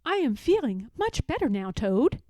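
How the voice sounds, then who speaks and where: A mild little frog from a children's storybook, voiced by a woman reading aloud, curious and lilting. The pitch is high and swoops widely, with a gentle mellow tone. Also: big pitch swings, normal speed, no echo, studio quality. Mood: gentle, brighter.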